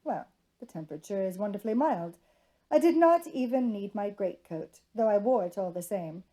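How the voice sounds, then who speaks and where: Woman reading as gallant young gentleman, mellow voice. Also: mid-range voice, expressive, normal speed, close to an everyday voice. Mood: hopeful. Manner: genteel, effusive, formal period speech. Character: cheerful, gallant young English gentleman suitor, flamboyantly polite.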